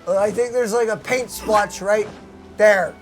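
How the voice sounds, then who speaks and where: A man's voice: high voice, fairly even intonation, measured pace, faint music behind. A man hosting a reaction video, giving casual, playful commentary on a game.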